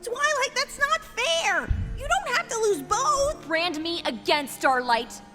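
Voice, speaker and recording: A woman's voice: extremely high pitch, very animated, slow pace, exaggerated voice. A woman voicing terrified young female ponies in a dramatic audio drama, stammering and pleading. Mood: desperate protest. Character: terrified, pleading young female pony victims, tearful and desperate. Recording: long reverb, music behind. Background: music.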